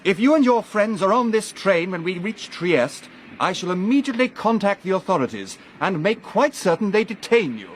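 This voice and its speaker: Pompous professor, highish voice. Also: male voice, very animated, normal speed. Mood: indignant. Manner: formal, pompous phrasing. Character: pompous, indignant English professor. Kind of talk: radio drama acting. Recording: faint train noise behind.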